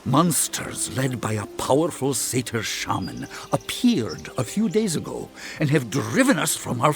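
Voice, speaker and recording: An actor playing an earnest, weary village leader in a video game, speaking imploringly. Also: male voice, on the high side, gravelly, heavily acted. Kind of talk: video game character dialogue.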